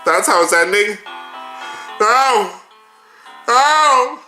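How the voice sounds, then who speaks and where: A man giving an excitable, melodramatic fan reaction in casual chat as the host of a video. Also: sits high, extremely slow. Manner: melodramatic, wailing playfully. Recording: roomy echo, music behind. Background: music and show audio.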